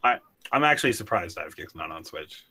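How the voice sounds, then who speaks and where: A man's voice: low voice, very animated, fairly quick, pushed and bright. A man chatting casually as a podcast panelist, chipping in with short remarks. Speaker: video game podcast panelist. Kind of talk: casual chat with short interjections.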